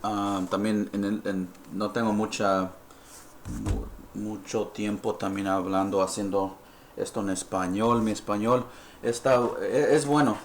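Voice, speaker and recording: A man from California who grew up speaking English, presenting a live-stream dental lesson in halting Spanish that he apologizes for, mixing in English words. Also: lowish voice, background noise. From United States (California). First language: English (heritage Spanish speaker). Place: dental lab. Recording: echoey lab room, faint ambience.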